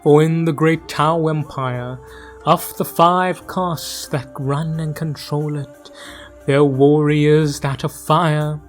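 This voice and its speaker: A man acts as an ominous, grandiose chronicler of a dark fantasy universe, warning the listener of horrors. The delivery is extremely slow and melodramatic, often sinking toward hushed whispers. Music plays under this theatrical audio drama narration. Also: fairly high pitch, steady tone. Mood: grave, knowing.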